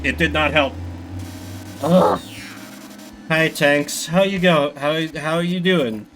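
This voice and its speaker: A man's voice: fairly high pitch, slow pace, noisy background. A man doing casual live gaming commentary, chatting with viewers in a rambling, self-deprecating, sweary way. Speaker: video game streamer. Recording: music behind the voice. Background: video game music and sound effects.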